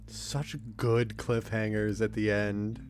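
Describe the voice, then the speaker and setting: A man's voice: fairly low pitch, flat delivery, slow, a little mellow, slight background noise. A man, a voice actor, talking casually in a group commentary on an audio drama.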